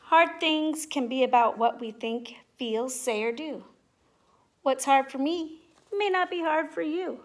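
A middle-aged woman teacher speaking in simple, clear phrases to young children, as in a read-aloud or classroom demonstration. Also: high voice, measured pace. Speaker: teacher making videos for pupils. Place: indoors at home, living room and kitchen. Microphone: device mic at about arm's length.

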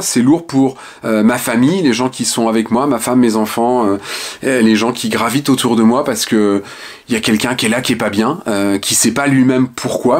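A man in his fifties from the Ardennes, frankly telling his life story to the camera at home with hesitant fillers. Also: medium pitch. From Ardennes, France. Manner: frank and reflective, many hesitant "euh" fillers. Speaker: author and online speaker. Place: small home room with shelves and lamp.

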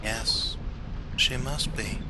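A man's voice: medium pitch, steady tone, very slow. A man playing a brash, sardonic 1950s American husband in an amateur radio play. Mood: wry. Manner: sardonic, breezy. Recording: busy outdoor crowd and music behind.

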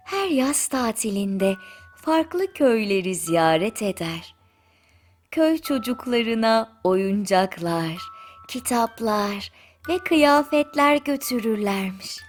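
Female bedtime narrator, higher-pitched, unhurried, soothing children's fairy-tale delivery. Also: expressive. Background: music.

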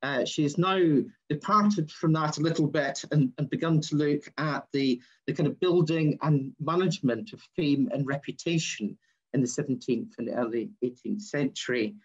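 A man's voice: fairly high pitch, normal speed, breathy edge. A middle-aged man chairing an academic panel, introducing the next speaker over a video call. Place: home study with bookshelves, indoors. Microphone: laptop webcam mic, video call. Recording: muffled lo-fi video call audio.

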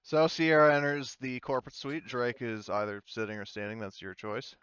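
A man's voice: medium pitch, flat delivery, normal speed, fairly bright tone, smooth, dry recording, dull audio. A man acting as game master, informally narrating and explaining an online tabletop roleplaying game with hesitant phrasing.

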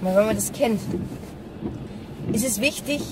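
A woman's voice: on the high side, normal speed. A middle-aged woman chatting casually in a vlog while driving, explaining things directly to the viewer. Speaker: vlogger. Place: inside a small car, driving. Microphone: dashboard-mounted camera. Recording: distant mic, loud car noise. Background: car and road noise.

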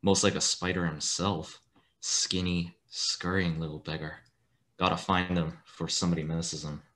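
A man playing a rough, colloquial, grumbling sanitarium attendant in a radio play. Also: sits low, little pitch movement, moderate pace, fairly bright tone.

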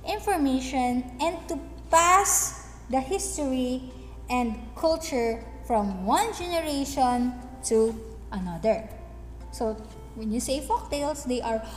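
High-pitched animated woman teacher, echoey. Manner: deliberate, instructive, with check-in questions. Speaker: English teacher. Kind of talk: explanatory lesson with storybook reading. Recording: echoey hall-like room, faint music behind.

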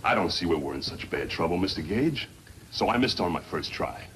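An actor playing a cocky hired gunman in a 1950s radio western, with folksy, rough grammar. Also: sits low, expressive, a little fast, a bit bright. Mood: scheming. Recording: noisy vintage 1950s radio recording.

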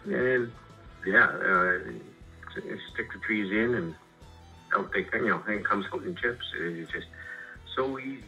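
Canadian guy chatting on a muffled phone line, really low voice but sharp edged, halting, music under it. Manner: rambling, halting, repeats words. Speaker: homeowner and sawmill customer. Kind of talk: casual phone chat about his projects. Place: remote phone call.

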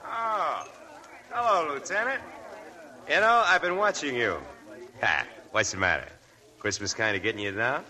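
An actor playing a breezy, hard-drinking, talkative nightclub press agent, glib and slangy, in a 1940s radio drama. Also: male voice, mid-range voice, lively intonation, a little slow, pauses often, bright, forceful tone. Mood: teasing, tipsy. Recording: 1940s radio broadcast with music.

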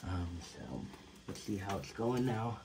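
A man's voice: fairly low pitch, animated, unhurried. A young man in his twenties talks loosely to his camera while setting up a home gym, with lots of fillers and casual swearing. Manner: rambling, loose and cursing, with many fillers. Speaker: vlogger. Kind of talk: casual chat. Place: small home gym and room with colored lights, indoors. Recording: clicks and clear room noise.

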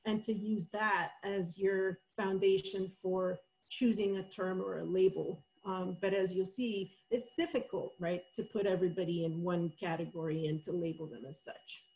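A woman from El Salvador giving a college webinar talk over a video call, thoughtful and steady, with many fillers and frequent "right?" checks. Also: lowish voice, moderate pace, few pauses, poor audio. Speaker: college professor presenting survey findings. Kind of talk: webinar presentation.

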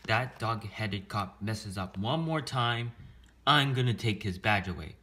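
A man's voice: lowish voice, lively intonation, a little slow, pushed and bright, a little hiss or hum. A man voicing an angry, self-important town mayor in a children's storybook read-aloud, blustering and pompous. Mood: outraged whining.

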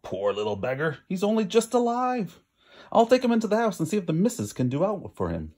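A man reading a storybook, voicing a puzzled, soft-hearted old hill farmer in blunt rustic dialect. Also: sits high, huge pitch swings, brisk, voice pushed up, heavily acted. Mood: pitying.

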